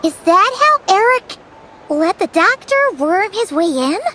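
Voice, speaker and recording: A woman voicing a tiny, blunt, nosy fairy companion in a video game, with a very high, childlike voice. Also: very animated, background noise, heavily acted. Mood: eager. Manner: quick, nosy and pushy, interrupts with questions. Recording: game audio with music underneath.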